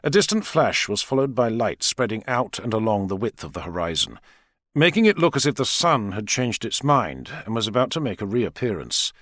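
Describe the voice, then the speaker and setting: A man narrating dramatic fiction in a measured, expressive storytelling manner. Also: on the low side, dry recording, studio quality. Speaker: audiobook narrator.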